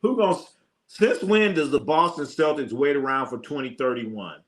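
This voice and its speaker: A man's voice: on the high side, moderate pace. An American man hosting a sports livestream in casual, slangy talk, giving blunt opinions and repeating phrases for emphasis. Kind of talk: casual live sports commentary, opinionated chat.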